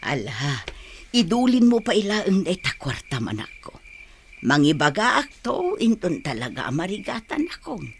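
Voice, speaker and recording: A woman with a Filipino accent playing a caring, hardworking village mother in a radio drama, tender and expressive. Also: on the low side, moderate pace, gravelly, slight background noise. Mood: concerned.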